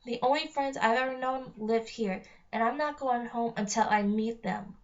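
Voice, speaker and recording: An eager young storybook girl, read out by a woman, high-pitched, earnest and stubbornly dreamy. She comes through on a dull, lo-fi recording. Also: moderate pace, lightly acted. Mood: earnest, insistent. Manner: earnest, insistent.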